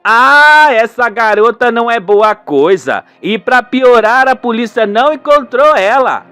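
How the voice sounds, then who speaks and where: Man putting on a high-voiced bossy country mother. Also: expressive, measured pace, bright, forceful tone, clean tone, dry recording, faint music behind, exaggerated voice. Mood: bossy, disapproving. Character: older rural wife and mother, bossy, fretful.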